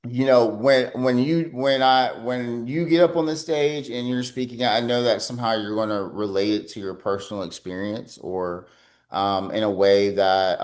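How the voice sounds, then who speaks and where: A man hosting an interview podcast, talking loosely and chattily with plenty of fillers. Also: mid-range voice. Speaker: podcast host. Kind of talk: casual interview.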